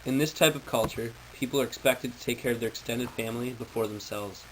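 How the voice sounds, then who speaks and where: A man with a mid-pitched voice delivers a prepared informational talk. His phrasing is formal and textbook-like, as if read from notes. The amateur recording carries clear background noise. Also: fairly even intonation, fast talker, fairly bright tone, low-quality recording. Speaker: presenter.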